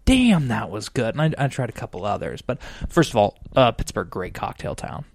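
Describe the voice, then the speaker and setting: A man's voice: medium pitch, sing-song, normal speed, gravelly, no echo. A man in his late twenties co-hosting a casual podcast over drinks, rambling off on tangents and swearing freely. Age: 20s. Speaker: podcast co-host.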